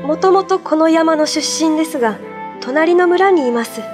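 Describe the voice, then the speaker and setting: A woman playing a gentle, mysterious young spirit in human form, speaking politely in a radio drama. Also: very high-pitched, lively intonation, moderate pace, over music.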